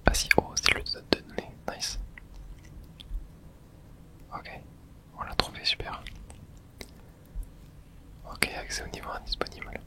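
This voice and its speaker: A person whispering breathy, casual video game commentary in ASMR style, thinking aloud in short remarks close to the microphone. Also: measured pace, pauses often.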